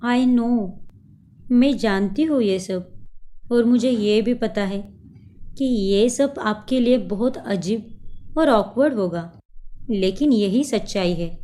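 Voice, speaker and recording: A woman's voice: on the high side, warm tone. A woman voicing a cold, scheming young woman who mocks and bosses others around, slipping in English words. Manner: taunting, bossy, mixes in English words.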